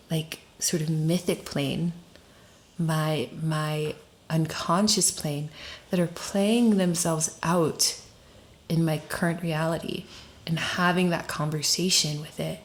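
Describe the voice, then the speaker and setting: A woman's voice: sits low, slow. A woman speaking as a podcast guest, explaining ideas in an informal, reflective way, searching for her phrasing and posing rhetorical questions. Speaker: podcast guest, story doula and facilitator.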